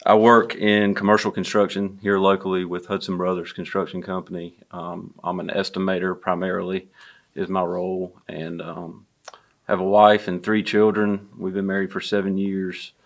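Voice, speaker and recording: A man in his thirties with a Southern US accent, talking as a guest in an easygoing, unhurried way. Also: sits low, creaky. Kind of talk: casual conversation.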